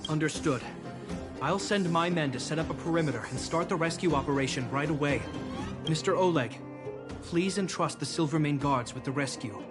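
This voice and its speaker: A man's voice: on the high side, normal speed, over music, close to an everyday voice. An actor playing an earnest, duty-bound young male military commander, formal and resolute, in a video game. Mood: reassuring.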